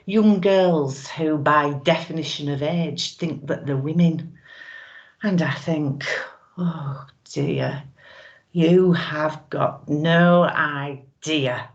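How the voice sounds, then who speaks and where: Brazen middle-aged widow, played by a woman, low-voiced, suggestive and wistful, theatrical monologue, dull home recording. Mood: wistful, defiant. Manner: knowing, suggestive, with drawn-out pauses.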